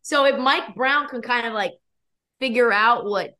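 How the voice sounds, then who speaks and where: A young woman guest with a hoarse, worn voice, talking sports and betting in a quick, energetic, opinionated way. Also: fairly high pitch. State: losing her voice. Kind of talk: casual sports chat.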